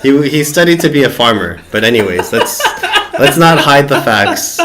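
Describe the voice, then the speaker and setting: A man's voice: medium pitch, expressive, normal speed, fairly bright tone. A man from the United States hosting a casual livestream chat, blunt and teasing with his guest. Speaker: channel host.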